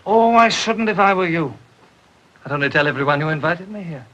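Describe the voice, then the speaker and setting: A man's voice: a little high, expressive, fairly quick, fairly bright tone, muffled recording, a little hiss or hum. A young man with an English accent playing a teasing, impudent young Englishman in a 1930s film. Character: cheeky, mocking young Englishman.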